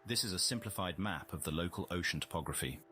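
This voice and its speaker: A man playing a solemn scientific observer, narrating a visual novel in a measured, formal nature documentary style. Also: deep voice, bright, forceful tone, faint music behind. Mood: informative. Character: solemn male scientific observer narrating alien whale life.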